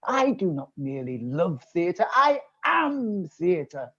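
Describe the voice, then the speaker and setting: Woman playing a grandiose male thespian, swooping and slow. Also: fairly low pitch, sing-song, a bit bright, slightly rough, exaggerated voice. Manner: declamatory, ornate phrasing. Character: pompous theatrical thespian man named Roger, lofty and grandiose. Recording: muffled online call audio.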